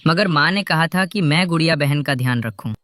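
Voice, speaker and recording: A man's voice: a little high, brisk, bright, forceful tone. A man voicing an obedient little boy bird in a children's cartoon. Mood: dutiful.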